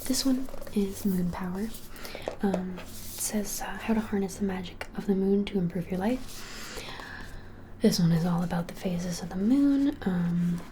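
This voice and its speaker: A woman in her twenties whispering an ASMR roleplay as a shop clerk, gently and hesitantly, with many pauses. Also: on the low side. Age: 20s. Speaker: ASMR creator playing a witch shop clerk. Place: plain small indoor room. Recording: clearly hissy background noise.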